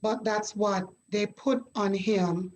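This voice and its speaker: A middle-aged woman from Jamaica, a guest sharing her story in a measured, reflective way with pauses. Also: mid-range voice, fairly even intonation, darkish tone, a little hiss or hum, poor audio. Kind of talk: conversational interview.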